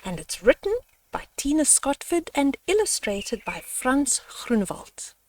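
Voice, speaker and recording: An older woman hosting a children's storytime, reading a picture book aloud in a measured, dramatic way. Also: high-pitched, big pitch swings, a little mellow, no room sound, distant mic.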